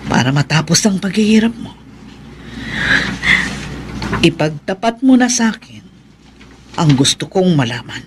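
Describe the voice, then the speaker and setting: A man's voice: sits high, background noise, full character voice. A man voicing a scheming older village woman who casts hexes, speaking in a cold, menacing, insinuating way in a radio drama.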